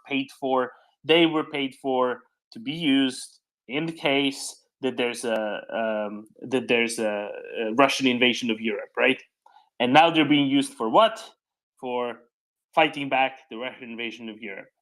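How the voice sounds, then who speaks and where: A man's voice: mid-range voice, low-quality recording. A man hosting a live news discussion, explaining developments off the cuff and often checking in with a "right?". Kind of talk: unscripted news commentary and discussion.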